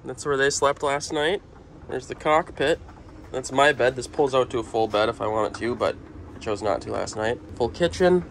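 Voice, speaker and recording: A man's voice: mid-range voice, normal speed, fairly bright tone. A young American man narrating a casual travel vlog in a folksy, playful way. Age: young adult. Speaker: vlogger. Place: in and around a motorhome on the road. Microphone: handheld camera at arm's length. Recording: noisy handheld outdoor and vehicle audio.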